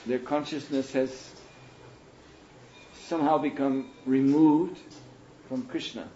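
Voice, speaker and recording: Mellow-voiced man teaching in a roomy hall. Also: medium pitch, steady tone, dull audio, slight background noise. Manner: thoughtful, unhurried, with pauses and soft laughs. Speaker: spiritual teacher answering questions. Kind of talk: question and answer talk.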